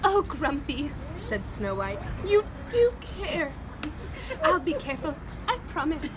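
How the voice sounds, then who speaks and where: A woman's voice: high voice, sing-song, takes it slowly. A woman playfully reading a storybook aloud outdoors to a group, slipping in joking asides. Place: outdoor restaurant patio among a group. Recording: loud traffic and group chatter outdoors.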